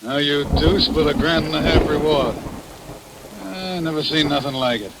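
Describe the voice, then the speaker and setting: An actor playing a cheeky, grasping small-town jailer who needles in folksy slang in a 1940s radio drama. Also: male voice, fairly high pitch, normal speed, natural voice. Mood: envious, needling. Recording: noisy vintage radio recording.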